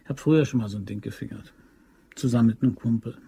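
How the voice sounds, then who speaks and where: An actor playing a scheming, persuasive ex-convict locksmith in a German crime radio drama, with slangy, insinuating talk. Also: male voice, mid-range voice, fast talker, vocal fry, mellow, slight background noise, close to an everyday voice. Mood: grim, confiding.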